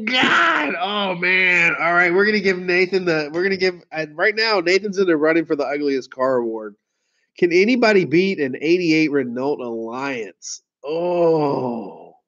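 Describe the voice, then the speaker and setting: A man hosting a casual livestream. He tells rambling stories, laughs and swears easily, and sounds hoarse from a head cold. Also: a little high, a bit bright. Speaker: car sales livestream host. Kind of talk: casual livestream chat with viewers. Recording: dull lo-fi stream audio.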